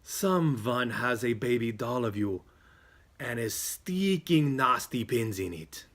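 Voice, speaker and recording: A man voicing an ominous, slyly grasping male psychic who talks slowly and portentously in a tabletop game story, with music behind him. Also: mid-range voice, expressive, a bit bright. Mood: grave, portentous. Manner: slow, dramatic, portentous delivery.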